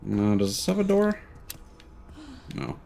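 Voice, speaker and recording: A man's voice: on the low side, very animated, takes it slowly, gravelly, mellow. A man giving casual gameplay commentary as a streamer, with joking, irreverent asides. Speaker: video game streamer.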